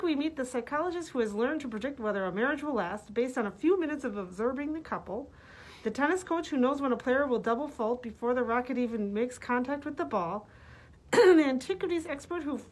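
A woman's voice: high-pitched, lively intonation, a little fast. A middle-aged woman, a librarian reading book blurbs with casual asides, slightly muffled by a face mask. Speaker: librarian presenting books. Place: library room with bookshelves.

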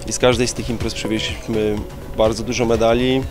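Male sports coach interviewed over clear music. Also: on the low side, flat delivery, fairly quick. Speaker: martial arts coach and competitor. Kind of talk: on-camera interview. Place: large gym hall, indoors. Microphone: handheld reporter microphone.

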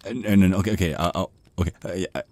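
Man acting a deep-voiced, shy, stammering vampire. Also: steady tone, dark tone, no echo, natural voice. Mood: flustered, stammering. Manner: nervous stammering, halting, awkward rambling. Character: shy, flustered young male vampire on a first date. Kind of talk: ASMR roleplay acting.

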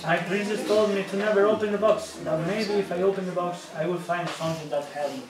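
A man's voice: high voice, steady tone, brisk, a crowd in the background. A young man acting a homesick, devoted folktale hero in a scripted puppet show. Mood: earnest, homesick. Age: young adult. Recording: echoey room from far, audience clatter.

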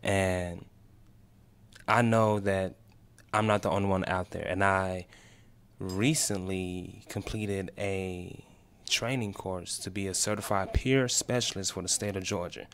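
Smooth low-voiced young man. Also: fairly even intonation, a little slow. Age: 20s. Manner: open, encouraging, testimonial. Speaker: guest, peer specialist. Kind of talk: casual interview chat. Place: small radio studio, indoors. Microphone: desk mic on stand.

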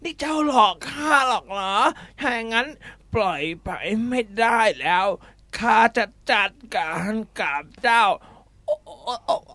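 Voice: mid-range voice, animated, a little slow, pushed and bright, dry recording. A performer voicing a boastful, gullible bully snake with exaggerated delivery in a children's animal fable. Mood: pained, whining. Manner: exaggerated, threatening then whining.

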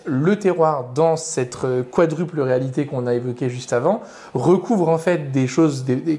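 A man's voice: on the high side, dark tone. A young adult man, an author invited as a guest, giving long structured explanations that list his points in order. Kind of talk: explanatory interview answers.

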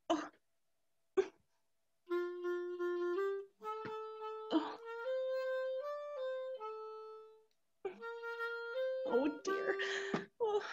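A woman's voice: very high voice, sharp tone, dull audio, exaggerated voice. A middle-aged woman playing an anxious, melodramatic wife in a stage comedy, fretful and exclaiming. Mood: agitated. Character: anxious, melodramatic wife awaiting soldier husband's return. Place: indoor room, recorded over video call.

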